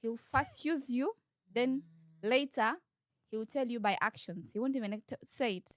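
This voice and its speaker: A woman's voice: on the high side, moderate pace, no room sound. A woman with a Ugandan accent giving a candid informal interview, explaining and repeating her points.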